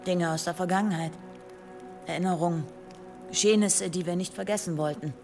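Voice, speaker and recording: An actress in a German radio drama playing a guarded medieval warrior woman who speaks hesitantly and deliberately. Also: lowish voice, fairly even intonation, a little mellow, soft background music, lightly acted. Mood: wistful, insistent. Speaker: actor in an audio drama.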